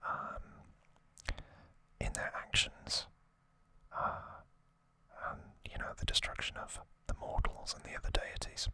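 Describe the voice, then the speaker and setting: A man whispers an ASMR recap at a slow pace. The whisper is breathy and very sharp, with no voiced tone. He moves along haltingly, pausing between short phrases. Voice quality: breathy, unvoiced whisper throughout. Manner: soft, unhurried, halting delivery with pauses. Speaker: ASMR host.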